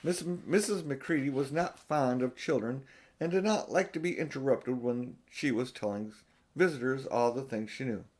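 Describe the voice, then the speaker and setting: A man's voice: medium pitch, moderate pace, darkish tone. An older man reading a children's storybook aloud, with casual, chatty asides. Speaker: audiobook reader.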